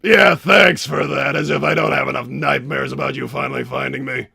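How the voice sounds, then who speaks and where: A man's voice: medium pitch, steady tone, fairly quick, creaky, bright, forceful tone, breathy edge, soft background music, heavily acted. A man playing an exasperated, sarcastic villainous scientist, blustering and anxious in a livestream roleplay. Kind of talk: improvised character roleplay on a livestream.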